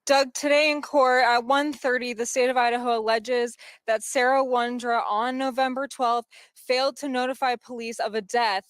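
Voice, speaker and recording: An American woman giving a live TV news field report, rephrasing haltingly as she goes. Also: fairly high pitch, level tone, clear, resonant tone, no room sound.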